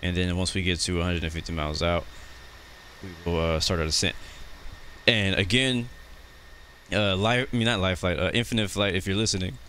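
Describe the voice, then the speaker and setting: A man's voice: low voice, moderate pace. An American man casually commenting on a flight simulator live stream, chatting with viewers as he plans his descent. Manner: relaxed, chatty, greets chat viewers. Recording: noisy background.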